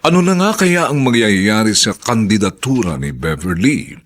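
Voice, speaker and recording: A man with a Filipino accent narrating a radio drama as its announcer, in a dramatic, deliberate scripted delivery. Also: on the low side, sing-song.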